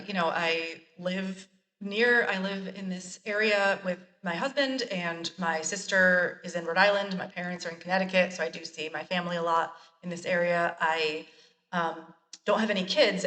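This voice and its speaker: A chatty, self-deprecating woman in her thirties talks casually in a slightly low voice. She laughs easily. She sits back from the mic in an echoey room. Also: fairly bright tone, far from the mic. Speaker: college librarian, guest. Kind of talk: casual interview chat.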